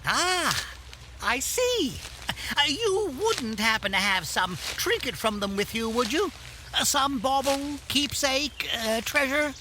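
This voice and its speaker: A woman's voice: sits high, very animated, slow pace, background noise, heavily acted. A woman playing a whimsical, mischievous yet kindly elf in an audio drama, giggly and theatrical, with playful rhymes and grand old-fashioned phrasing. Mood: delighted, kindly.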